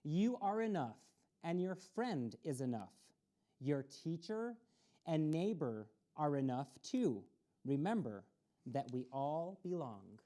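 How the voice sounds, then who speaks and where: Woman reading a kids storybook, low mellow voice, swoops around a lot, cheering them on. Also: very animated, slow. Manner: encouraging, talks straight to children. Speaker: storytime reader for children. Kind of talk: reading a children's picture book aloud. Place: community access TV studio.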